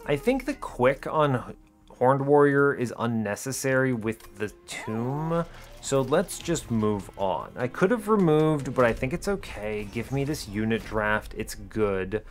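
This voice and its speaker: A man giving casual video game commentary, thinking aloud in a wry, chatty way with short clipped reactions. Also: medium pitch. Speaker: video game streamer explaining his play.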